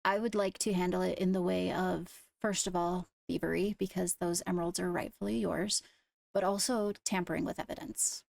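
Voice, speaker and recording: Woman playing a creaky-voiced, deliberate investigator. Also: fairly low pitch, steady tone, a little mellow. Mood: measured, businesslike. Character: measured, diligent female fantasy court investigator. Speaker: roleplay player acting as an investigator. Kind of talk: improvised in-character roleplay dialogue.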